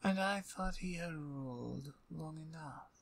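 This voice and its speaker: A woman playing a menacing, playfully dominant female vampire in an ASMR audio roleplay, with a breathy, husky voice and a taunting, mock-courteous manner. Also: sits low, a little slow, heavily acted. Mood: smug.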